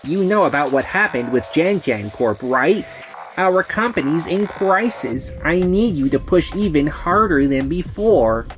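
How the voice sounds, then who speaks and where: A woman's voice: low-pitched, animated, a little slow, music in the background. A woman playing a proud, stubborn sales manager who gets defensive under pressure, in a manga dub. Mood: insistent.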